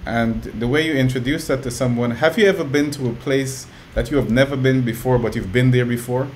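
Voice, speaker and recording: A man talking as a guest, calmly explaining ideas and often checking in with "you know.". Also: mid-range voice, a little fast, a little hiss or hum. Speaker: guest musician and educator. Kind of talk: relaxed conversational storytelling.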